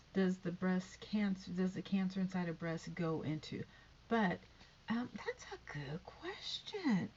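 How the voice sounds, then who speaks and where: A woman's voice: mid-range voice, mellow, dull audio, a little hiss or hum. A woman in her fifties vlogging in a rambling, chatty way, with sighs and whispered asides. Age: 50s. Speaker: YouTube vlogger. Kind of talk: rambling casual vlog.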